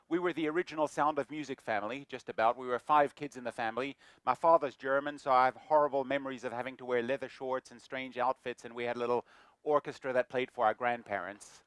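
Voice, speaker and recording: Middle-aged man as a guest speaker, joking, self-mocking, mid-pitched, dry room. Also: smooth, no room sound. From New Zealand. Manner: jokey, self-deprecating, lively. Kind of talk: lecture talk. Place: lecture room.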